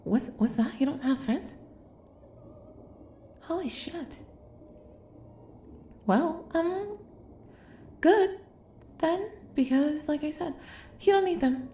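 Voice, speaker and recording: A woman's voice: high-pitched, expressive, takes it slowly, pauses often, echoey room, a little hiss or hum. A woman playing an obsessive, lovestruck kidnapper in an intimate audio roleplay, doting and possessive, coaxing the listener with hesitant asides. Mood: possessive, awkward. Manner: soft, coaxing, hesitant asides, drops into whispers.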